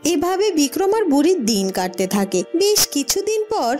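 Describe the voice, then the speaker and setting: A woman's voice: high voice, very animated, normal speed, a little mellow, soft background music. A woman reading scripted narration for a children's fairy-tale cartoon.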